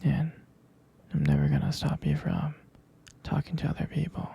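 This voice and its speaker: A man playing a tender, protective, jealous boyfriend in an intimate whispered ASMR roleplay, breathy and hesitant, with gravelly fry. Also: fairly high pitch, very animated, dark tone, heavily acted. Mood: possessive, devoted. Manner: slow, intimate, hesitant murmuring. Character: tender, protective, jealous young boyfriend comforting his partner.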